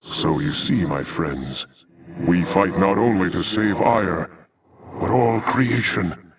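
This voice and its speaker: A man's voice: low voice, expressive, slow pace, gravelly, recorded from a distance, background noise, full character voice. A man voicing a noble alien warrior leader in a video game, solemn and heroic, with grand formal speech. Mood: solemn, resolute. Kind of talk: theatrical video game acting.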